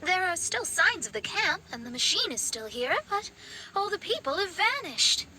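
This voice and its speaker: High-pitched young astrologer. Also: female voice, big pitch swings, normal speed, bright, forceful tone, slight background noise, full character voice, low-quality recording. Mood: pondering. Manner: articulate, explanatory. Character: self-assured young female astrologer, analytical and proper. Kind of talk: video game character acting. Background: game music.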